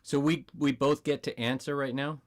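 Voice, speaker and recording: A man's voice: medium pitch, level tone, moderate pace. A man chatting casually as a contestant on a game show. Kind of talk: casual chat.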